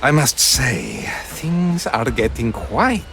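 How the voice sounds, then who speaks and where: A man's voice: mid-range voice, huge pitch swings, slow, vocal fry, fairly bright tone, a little hiss or hum, heavily acted. A man voicing a sly, suave helper in a video game, with a courtly, teasing drawl. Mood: amused, suave.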